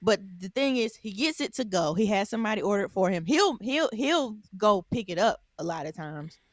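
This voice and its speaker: A woman co-hosting a casual podcast, speaking at an ordinary middle pitch. She explains at length, stopping to correct herself and filling pauses with ums. Her voice is dry and close to the mic. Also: animated, dry recording. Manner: rambling, self-correcting storytelling with many ums. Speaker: podcast co-host. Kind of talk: casual chat.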